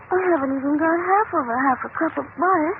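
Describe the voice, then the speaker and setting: A woman's voice: high voice, quick pace. A woman acting as an earnest, worried young child in 1940s radio drama style. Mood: plaintive. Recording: tinny noisy old radio recording.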